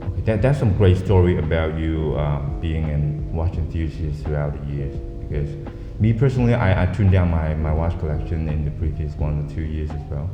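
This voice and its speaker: A man hosting an interview in an office, speaking casually with halting restarts and fillers. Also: very deep voice. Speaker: host. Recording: echoey, dull sound with music bed.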